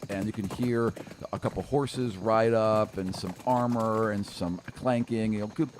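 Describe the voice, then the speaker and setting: A man's voice: on the low side, steady tone, a little slow, slight background noise. A middle-aged man casually narrating an improvised tabletop game as the game master. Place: small indoor studio at a table. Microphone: close podcast microphone on stand.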